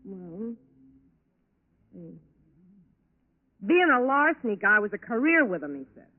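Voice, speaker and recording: An actress playing a brash, slangy, defensive nightclub singer in a 1940s radio crime drama. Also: female voice, medium pitch, big pitch swings, measured pace. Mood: brash, candid. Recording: tinny, band-limited 1940s radio recording.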